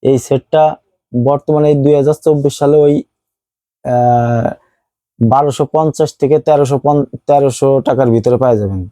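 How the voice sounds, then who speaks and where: A man's voice: medium pitch, steady tone, moderate pace, pauses often, dark tone, dry recording. A man with a Bangladeshi accent giving a casual phone unboxing review as a seller, explaining things and repeating his points.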